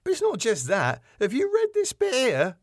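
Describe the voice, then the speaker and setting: A man's voice: very high voice, huge pitch swings, normal speed, dry recording, studio quality, voice pushed up, heavily acted. A man voicing a sardonic, ominous and exasperated club manager in a sitcom.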